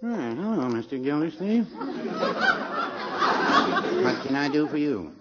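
An actor playing a placid, literal-minded old druggist, folksy and hesitant, in 1950s radio comedy style. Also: male voice, on the high side, very animated, a little slow, over a noisy crowd. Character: mild, unhurried, dithering old small-town druggist. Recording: muffled, noisy old radio recording.

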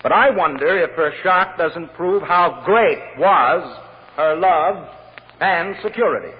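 A man's voice: a little high, lively intonation, slow pace. An American actor playing a persuasive, earnest male defense lawyer in an old radio drama, articulate and insistent. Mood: earnest, pleading. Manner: articulate, insistent courtroom pleading. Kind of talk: 1940s-50s American radio drama acting. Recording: old radio recording, dull, hissy, long reverb.

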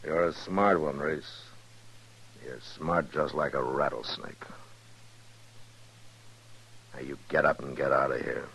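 Very deep-voiced, gruff frontier marshal. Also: male voice, fairly even intonation, moderate pace, a bit bright, full character voice, low-quality recording. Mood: angry, menacing. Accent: American. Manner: gruff, terse, commanding. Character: stern, weary adult male frontier marshal. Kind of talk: 1950s radio western acting. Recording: old radio recording with crowd-like noise behind.

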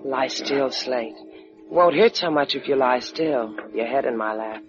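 A woman's voice: extremely low pitch, measured pace, music in the background. A woman playing a wry, devoted young adventuress in a 1950s radio drama, with a teasing, laconic way of talking. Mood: tender, soothing. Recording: dull old radio recording.

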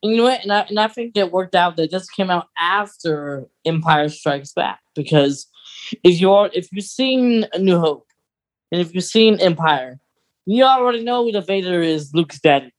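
Podcast co-host, medium pitch, casual fan discussion, conversational delivery. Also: animated, normal speed.

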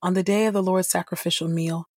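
A woman reading scripture from a script as a podcast host, in a measured, formal manner. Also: lowish voice, fairly even intonation, darkish tone, no echo, clean studio sound. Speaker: Bible reader and podcast host.

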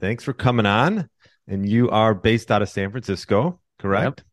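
A man's voice: lowish voice, normal speed, no room sound, clean studio sound. A man hosting a podcast, reading an upbeat scripted intro and then asking interview questions. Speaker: podcast host.

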